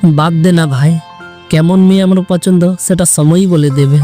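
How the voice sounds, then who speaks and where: A man's voice: a little high, steady tone, moderate pace, dark tone, faint music behind, close to an everyday voice. A man playing an irritable, curt young man in an audio story, scolding and exasperated. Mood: scornful. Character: irritable young man pestered by his lovestruck cousin. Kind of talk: Bengali audio story acting.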